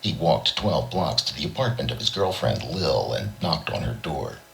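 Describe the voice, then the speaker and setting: A man's voice: a bass voice, big pitch swings, moderate pace, vocal fry, noisy background. A man reading a short story aloud as an audiobook narrator, in a dramatic storytelling style. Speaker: audiobook reader.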